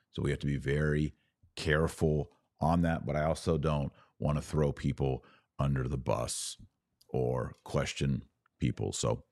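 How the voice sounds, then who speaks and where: A man's voice: extremely low pitch, vocal fry, darkish tone, no room sound, studio quality. A man hosting a live religious commentary show, speaking earnestly and emphatically and repeating phrases for stress. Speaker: podcast host answering live viewer comments.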